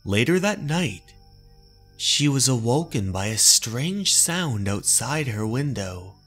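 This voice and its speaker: A man narrates a scripted horror tale as the channel's host. His pace is slow and his pitch is average for a man. Quiet music plays underneath. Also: sing-song.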